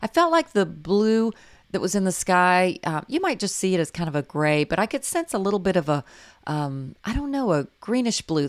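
An older woman with a hoarse, raspy voice, gently talking viewers through a painting tutorial with many fillers. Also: medium pitch. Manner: gentle, encouraging, meandering with fillers. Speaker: art instructor.

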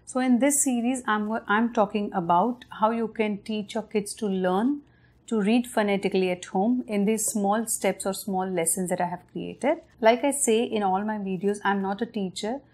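A woman's voice: mid-range voice, moderate pace, a little mellow. A woman in her thirties from India with an Indian English accent, patiently explaining a step-by-step home reading method to parents, with friendly fillers and self-corrections, recorded on camera in her living room. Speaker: parenting channel host. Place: living room at night in front of a large window.

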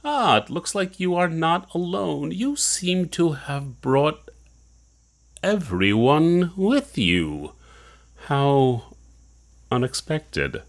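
Man playing a suave villain, slow and swooping. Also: on the high side, big pitch swings, heavily acted. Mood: theatrical menace. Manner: mock-courteous, theatrical. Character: suave, condescending male villain, theatrical and scheming. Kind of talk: audio drama acting.

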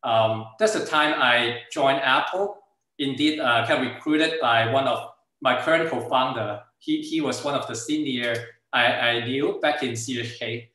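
A man with a Hong Kong accent, native in Cantonese, presents informally as a startup founder. His voice is bright and sharp at an ordinary pitch. It comes over a video call from a strongly echoing room. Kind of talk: informal slide presentation with product demo.

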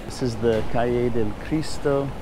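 A man narrates a casual walking tour in the manner of a guide. He speaks at a middle pitch, recorded outdoors with clear street noise behind his voice. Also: steady tone, normal speed. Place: outdoors on old city streets.

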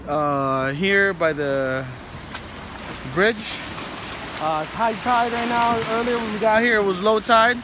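A man in his thirties hosting a casual fishing vlog outdoors on a rocky lagoon shore, talking into a phone camera held at arm's length and filling gaps with "um" and "uh". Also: high-pitched. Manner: chatty, many fillers and restarts. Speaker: fishing vlogger. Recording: outdoor wind and water noise.

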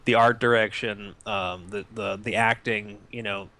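A man's voice: fairly low pitch, fairly even intonation, a little slow, sharp tone. A young man co-hosting a podcast, rambling through crude jokes with many restarts in casual banter. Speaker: podcast co-host.

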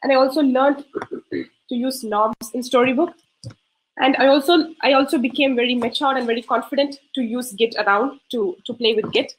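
Woman, graduate demo presenter, high bright voice, earnest and giggly, video call with faint hiss. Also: moderate pace, rough recording. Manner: laughs often, warm and earnest. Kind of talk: online presentation talk.

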